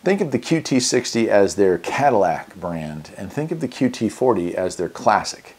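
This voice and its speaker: Low-voiced American man, folksy and enthusiastic. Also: big pitch swings, normal speed, a little mellow, breathy edge. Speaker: radio review host. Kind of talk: casual product unboxing and review.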